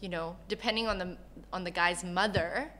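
A woman born in Malaysia who grew up in Canada, talking candidly and casually as an interview guest in an animated storytelling way. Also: on the low side, moderate pace, a little hiss or hum. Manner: animated storyteller, acts out quoted dialogue.

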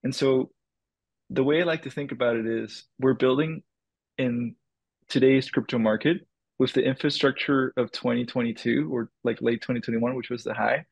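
A man's voice: medium pitch, fairly even intonation, moderate pace, vocal fry, breathy edge, dry recording. A man from the Dominican Republic, a crypto startup founder, answering interview questions in a thoughtful way with many fillers and restarts.

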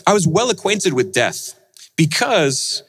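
A man delivering a sermon through personal storytelling. His voice sits a little high and moves widely in pitch. He leans into drama, rising with energy and sinking to near-hushed tones. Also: big pitch swings, unhurried, husky.

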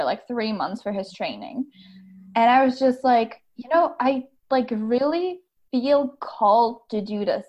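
Bubbly Czech-born woman guest, higher voice, muffled lo-fi sound. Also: darkish tone. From Czech Republic. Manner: bubbly, laughs often, uses like a lot. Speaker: guest, coach. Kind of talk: casual interview chat. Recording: muffled lo-fi audio.

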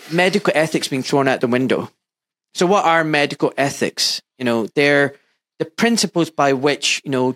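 A man's voice: fairly high pitch, a bit bright. A man in his fifties from Glasgow with a mild Scottish accent, a surgeon telling his story earnestly and emphatically as an interview guest. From Glasgow, Scotland. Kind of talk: candid interview talk.

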